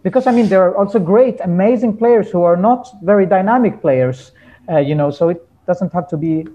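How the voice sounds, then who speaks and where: A man's voice: sits high, fairly quick, mellow, lo-fi sound. A man being interviewed as a musician, talking casually and searching for his words.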